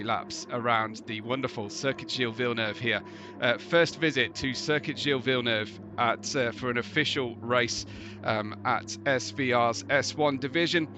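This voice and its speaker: A male race commentator on a live stream, his tired voice gravelly yet bright and forceful. He chats casually and gets excitable once the cars are racing. The audio is a little muffled, with faint noise underneath. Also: on the high side. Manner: chatty, laughing asides, excitable during race action.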